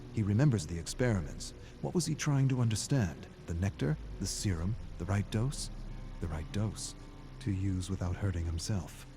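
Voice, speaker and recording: Low-voiced man narrating a dark story. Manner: brooding, deliberate short phrases with dramatic pauses. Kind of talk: dramatic scripted story narration.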